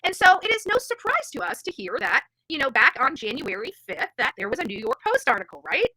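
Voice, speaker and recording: A woman's voice: high voice, very animated, a little fast, pushed and bright, no echo, poor audio. A woman hosting a true crime livestream, telling stories and commenting informally in a brash, feisty, rambling way. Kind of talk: informal live commentary with storytelling and reading aloud.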